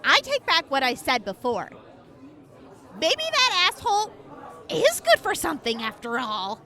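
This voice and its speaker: A woman voicing a snarky young clinic helper with a deadpan, sarcastic way of teasing, in an audio drama. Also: high voice, unhurried, a faint crowd, heavily acted.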